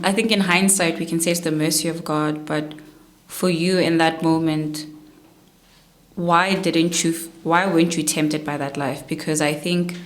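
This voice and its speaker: A woman hosting a podcast interview, asking thoughtful, probing questions and listening with soft murmurs. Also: deep voice. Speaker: interviewer host.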